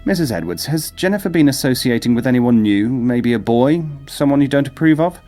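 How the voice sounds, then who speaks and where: A man's voice: mid-range voice, very animated, brisk, mellow, faint music behind, natural voice. An actor playing a world-weary, skeptical male psychologist in an audio drama, with a dry, dismissive manner. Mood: questioning.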